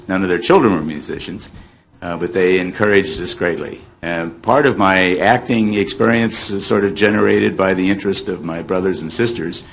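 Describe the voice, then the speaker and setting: An elderly American man in his seventies speaks as a panel guest at a table microphone. His voice is a bit low, and he reminisces in an informal, conversational way. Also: a bit bright. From United States, Illinois. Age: 70s. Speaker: guest panelist, former child radio actor. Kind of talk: casual reminiscing. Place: hotel meeting room at a convention. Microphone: table microphone on a stand.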